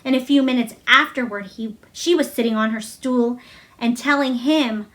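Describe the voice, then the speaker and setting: A woman narrating a children's storybook aloud in an expressive read-aloud style. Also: on the high side, moderate pace.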